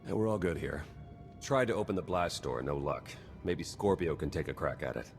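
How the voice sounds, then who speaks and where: A man's voice: a bass voice, moderate pace, over music. An actor playing an easygoing male crewmate in a sci-fi game, talking casually and plainly. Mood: relaxed, practical. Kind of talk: video game voice acting.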